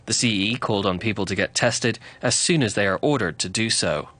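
A man filing a scripted radio news report in crisp, steady tones. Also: on the low side, brisk, no echo.

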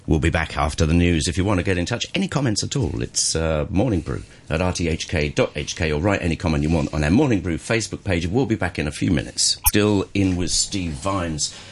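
Deep-voiced, jokey male radio host. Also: expressive, a little fast, few pauses, husky. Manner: jokey, chatty. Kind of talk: radio talk show hosting.

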